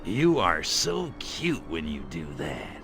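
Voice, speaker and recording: A man's voice: low voice, huge pitch swings, slow, over music, exaggerated voice. A man playing a cocky, wisecracking alien invader in a comedic video game, sardonic and flippant like a tough guy. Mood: sarcastic.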